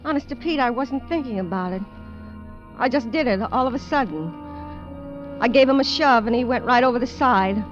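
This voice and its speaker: An actress plays a greedy, stranded American woman in a vintage radio play. Her voice is high and her storytelling plain and brooding. Music plays behind the muffled old recording. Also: expressive, moderate pace, natural voice. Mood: haunted confession. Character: desperate greedy woman stranded alone on a derelict ship. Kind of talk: 1950s radio drama acting, first-person confession.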